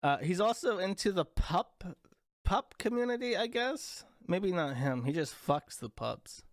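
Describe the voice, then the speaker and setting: A man's voice: high-pitched, big pitch swings, measured pace, no echo, studio quality. A young man hosting a casual livestream, rambling, crude and sarcastic as he talks to chat. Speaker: livestream host.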